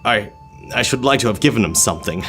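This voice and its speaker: A man playing a wistful, easily moved old miser in a radio play, halting and exclamatory. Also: on the low side, animated, a bit bright, a little hiss or hum. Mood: wistful, regretful. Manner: halting, exclamatory, stammering with emotion. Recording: music under the voice. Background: music.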